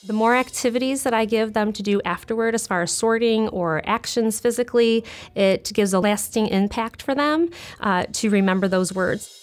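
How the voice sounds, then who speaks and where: A woman in her forties, a teacher, calmly explaining her teaching approach in an interview. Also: a little high, moderate pace. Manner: calm, explanatory. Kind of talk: interview reflection.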